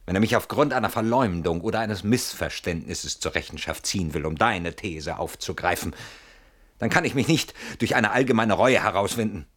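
A deep-voiced man in a radio drama, anxious and brooding. He reasons aloud in an articulate, ironic way, always on the defensive, with a theatrical delivery. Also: lively intonation, normal speed, a bit bright. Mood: brooding, analytical. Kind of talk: German radio play acting.

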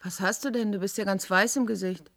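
A woman's voice: mid-range voice, fairly even intonation, a little fast, background noise, natural voice. A woman acting a doctor's affectionate, teasing partner in a German radio drama. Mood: concerned.

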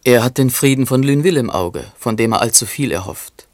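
A man acting a knowing chronicler in a radio drama, mid-pitched and recorded very dry. His narration is terse, sober and faintly ironic. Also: lively intonation, brisk, a little mellow, no echo, natural voice. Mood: matter-of-fact. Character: dry, knowing male chronicler of a poet's life. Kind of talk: literary radio play narration.

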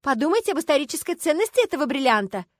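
Woman acting a shrill, hysterical rich heiress. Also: big pitch swings, moderate pace, no echo, studio quality. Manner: demanding, indignant, shrieking. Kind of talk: audiobook character voice.

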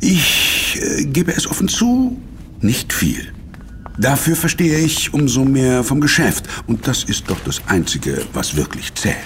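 An actor playing an obsequious, money-minded businessman in an audio drama, slightly stammering and flattering. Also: mid-range voice, unhurried, a little hiss or hum. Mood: defensive, smug.

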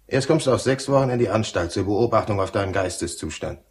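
An actor playing a cynical, gruff prison cellmate in a radio drama, with a colloquial, mocking, know-it-all manner. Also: male voice, lowish voice, animated, fast talker, lightly acted. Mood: scornful lecturing. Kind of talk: German radio drama acting.

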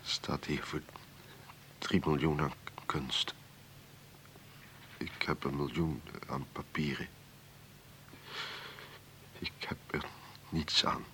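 A man acting a gloomy, ailing, wealthy old uncle in a Dutch radio play, speaking haltingly, wearily and with resignation. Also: rumbling low, steady tone, pushed and bright, slight background noise, exaggerated voice. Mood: bitter, despondent.

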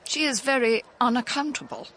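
A woman's voice: a little high, big pitch swings, fairly quick, a bit bright, a little hiss or hum. A woman playing a genteel, guarded elderly country lady in a radio drama, speaking with emphatic propriety. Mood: guarded, upset. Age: older.

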